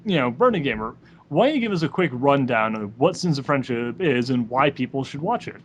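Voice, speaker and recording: A man of average pitch hosts a casual podcast over faint background noise. He puts questions to his guests haltingly, mixing in dry banter. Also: big pitch swings. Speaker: podcast host, interviewer.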